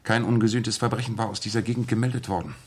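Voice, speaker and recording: An actor playing a composed gentleman detective in a 1960s German radio drama, courteous and precise in measured phrases. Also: lowish voice, fairly even intonation, husky. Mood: methodical, assured. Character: composed, methodical gentleman detective explaining his deductions.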